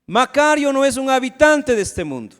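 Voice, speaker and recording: A pastor with a high, smooth and resonant voice preaches, often asking his listeners questions directly. His voice carries a long reverb through the church sanctuary. The delivery sounds like a live sermon. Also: big pitch swings, normal speed, fairly bright tone. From Ecuador. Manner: preaching with rhetorical questions and acted asides.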